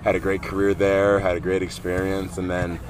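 A man's voice: deep voice, completely flat delivery, moderate pace. A young man from Delaware with an American accent, chatting casually as an interview guest. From Delaware, United States. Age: young adult. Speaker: podcast guest, soccer goalkeeper and coach.

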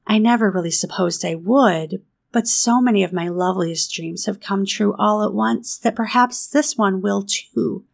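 A woman's voice: mid-range voice, creaky. A woman voicing an eager, talkative eleven-year-old orphan girl, earnest and pleading. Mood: dreamy, eager. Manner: earnest, pleading, breathless with feeling.

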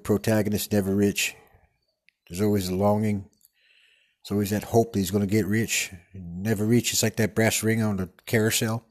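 Man voicing an old Texan-accented Western actor, low-pitched voice, a meandering storyteller who keeps losing the thread. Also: darkish tone, close to an everyday voice. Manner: meandering, digressive storytelling with many stumbles. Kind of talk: improvised comedic character monologue. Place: studio.

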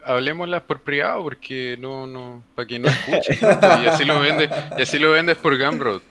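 A man's voice: on the high side, normal speed, a crowd in the background. A man with a Chilean Spanish accent joking and teasing as a podcast guest. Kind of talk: casual podcast chat.

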